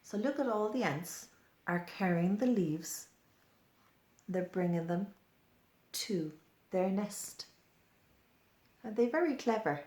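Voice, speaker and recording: Slow, animated woman storyteller in an echoey room. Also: mid-range voice. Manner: simple, repetitive, questioning phrases for young children. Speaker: storyteller for children. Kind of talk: children's story time read-aloud with simple explanations. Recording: roomy, echoing indoor sound.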